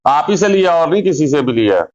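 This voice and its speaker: A man who owes money, calmly giving joking, unbothered excuses over a phone call. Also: a little high, quick pace. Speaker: borrower. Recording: muffled phone call recording.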